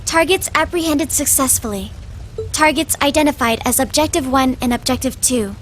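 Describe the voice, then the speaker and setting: A woman's voice: sits high, normal speed, slight background noise, close to an everyday voice. A woman playing an emotionless, obedient young girl agent in a video game, speaking in clipped, formal, literal phrases. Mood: flat, businesslike.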